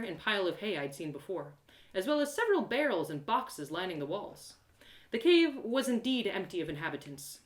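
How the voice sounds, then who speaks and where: A woman narrating a first-person story in an animated, theatrical way, with dramatic pauses. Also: mid-range voice, reverberant. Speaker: storyteller performing an audio drama live.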